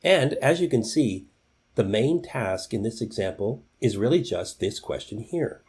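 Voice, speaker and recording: Lively man with a mellow, husky voice. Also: mid-range voice, unhurried. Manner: instructive, addresses the viewer directly. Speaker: presenter of writing tips. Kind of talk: scripted instructional narration.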